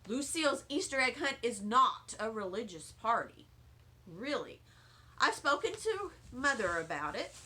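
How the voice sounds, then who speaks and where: A woman playing a patient but firm male first-grade teacher in a storybook, measured and correcting. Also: a little high, very animated, sharp tone, full character voice.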